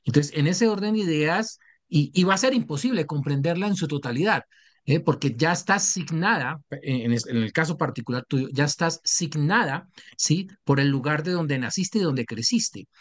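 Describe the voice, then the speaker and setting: A man moderating a panel discussion, speaking in a measured, academic way with many fillers. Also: a little high, lively intonation.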